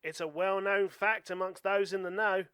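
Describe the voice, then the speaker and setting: A man's voice: high-pitched, steady tone, moderate pace, pushed and bright, smooth, no echo. A man playing an ominous, self-assured doom-mongering passenger in an amateur radio play. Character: doom-mongering know-it-all male passenger predicting anarchy.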